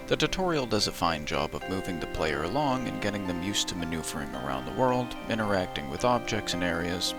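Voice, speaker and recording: A man's voice: fairly low pitch, creaky. A man in his adult years giving scripted, dry and wry voice-over narration as a video essayist. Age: adult who played as a child in the early 2000s.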